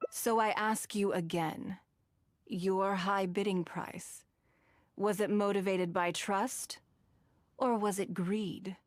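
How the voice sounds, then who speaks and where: A woman playing a shrewd, wealthy, coolly commanding businesswoman in a video game, speaking in measured, eloquent, formal phrases. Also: medium pitch, fairly even intonation, no room sound, close to an everyday voice. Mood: coolly confrontational.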